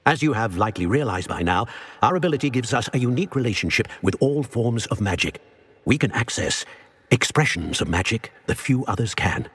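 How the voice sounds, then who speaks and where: A man playing an aged, grave and courteous wizard professor in a video game, speaking formally with a husky, grainy voice. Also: mid-range voice, expressive, fairly bright tone. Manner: formal, measured, old-fashioned. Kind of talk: video game character acting.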